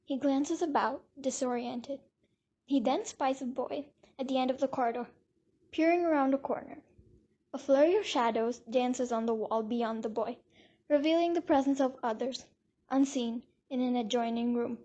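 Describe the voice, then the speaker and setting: A woman's voice: sits high, moderate pace, dark tone, lo-fi sound. A woman narrating an audio drama from a script in a dramatic storytelling style.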